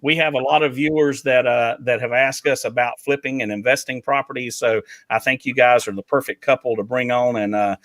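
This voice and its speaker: An upbeat man hosts a live talk show, chatting casually with guests and viewers. His voice is bright and forward, recorded dry and close with no room sound around it. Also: mid-range voice, steady tone, fairly quick, hardly any pauses, no echo. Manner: upbeat, joking, with many fillers. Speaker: host.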